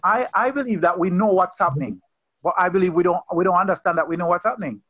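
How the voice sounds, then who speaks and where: Earnest lay preacher, man, high pitch, conversational and repetitive, muffled call audio. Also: fast talker, no room sound. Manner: earnest, conversational, repeats phrases and restarts. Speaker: pastor leading an online Bible study. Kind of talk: informal sermon on a video call. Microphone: video call.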